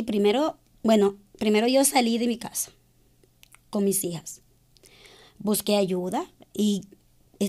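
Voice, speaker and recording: A woman from Ecuador, middle-aged, speaks as an interview guest with a mellow, unhurried tone. She gropes for words, repeats herself and fills the gaps with small hesitations. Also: on the high side. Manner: hesitant, searching for words, with many fillers. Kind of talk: personal account in an interview.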